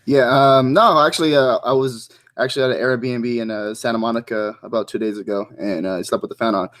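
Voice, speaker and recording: A man's voice: mid-range voice, fairly even intonation, fairly quick, a bit bright. Podcast guest, man, meandering anecdotes, easy laughter. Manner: rambling storyteller, laughs often. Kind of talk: casual chat.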